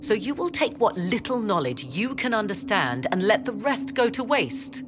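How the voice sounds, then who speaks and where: Proud, commanding woman adviser, voiced by an actress, lively intonation. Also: medium pitch, noisy background, lightly acted. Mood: imperious. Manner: formal, measured, imperious. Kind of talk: video game dramatic voice acting.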